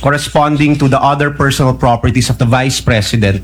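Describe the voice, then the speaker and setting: A man, a prosecutor questioning a witness in court, mixing Tagalog and English and speaking politely with measured pauses and fillers. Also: medium pitch, slight background noise.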